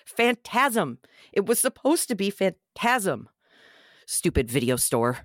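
A woman's voice: mid-range voice, very animated, slow, dry recording, studio quality. A woman reading a sardonic, awkward young man who feels left out, in a comedy-horror table read. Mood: eager, flustered.

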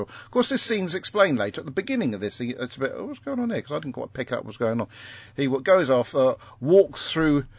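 A man's voice: medium pitch, dry recording. Male podcast host, rambling, dry teasing, dull lo-fi sound. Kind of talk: casual chat.

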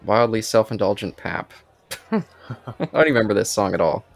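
Male podcast co-host, lowish and creaky, informal music chat. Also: lively intonation, brisk. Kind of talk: casual chat about music.

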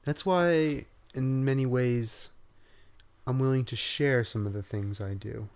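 A man's voice: medium pitch, unhurried, darkish tone. A man with a nasal voice co-hosting a casual podcast, joking dryly at his own expense. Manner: dry, self-mocking humor. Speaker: podcast co-host. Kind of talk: casual chat. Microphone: podcast studio mic.

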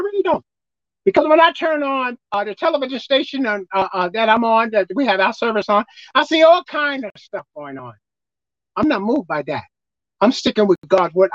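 A man with a squeaky voice preaching a sermon as pastor, emphatic and forceful. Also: dry recording, highs cut off. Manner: emphatic, pitch leaping up and down.

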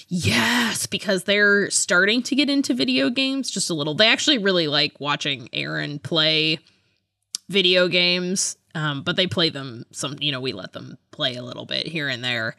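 A woman's voice: on the low side, moderate pace, sharp tone, no echo, studio quality. A woman co-hosting a podcast in casual chat, with a giggly, self-deprecating way of talking. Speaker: podcast co-host.

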